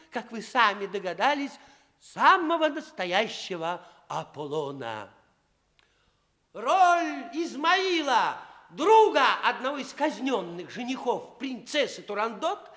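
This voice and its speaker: Shrill, clownish commedia chancellor. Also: male voice, sharp tone, full character voice. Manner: comic, stammering, theatrically drawn-out announcing. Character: Tartaglia, clownish male commedia dell'arte mask playing pompous chancellor. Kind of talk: theatrical comedy master of ceremonies. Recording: Soviet radio stage recording, long hall reverb.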